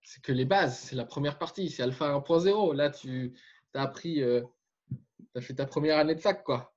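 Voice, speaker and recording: A man with a fairly high voice, explaining his points in an emphatic coaching manner. The audio sounds dull and muffled. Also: normal speed. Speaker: fitness coach interviewer. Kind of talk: coaching interview.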